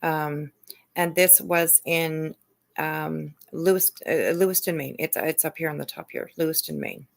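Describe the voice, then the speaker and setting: Woman, low-pitched, gravelly fry, halting delivery, casual livestream host. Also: steady tone. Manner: hesitant, halting.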